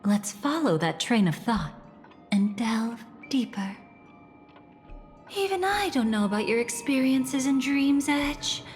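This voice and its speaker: A woman voicing a poised, gentle and coy guide in a video game, speaking in a measured, intimate, enigmatic way. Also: fairly high pitch, sing-song. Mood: reflective. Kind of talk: dramatic video game voice acting. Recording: long reverb, faint music behind. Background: soft background music.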